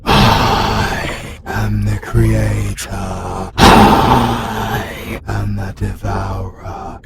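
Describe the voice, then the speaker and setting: British man voicing a demon god, gravelly, very deep, cold, commanding, fantasy audio roleplay, loud ambience behind. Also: heavy background noise, full character voice. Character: ancient male demon god possessing a hunter, menacing.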